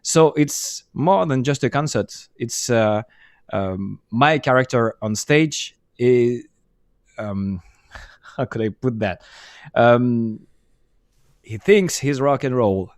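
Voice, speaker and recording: A middle-aged man with a French accent speaks slowly in a relaxed interview, often trailing off and restarting while he hunts for the right English word. His voice sits at an ordinary pitch and sounds dry and close, with no room around it. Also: no room sound. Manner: halting, many restarts and fillers, searching for English words. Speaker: musician guest. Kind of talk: casual interview chat.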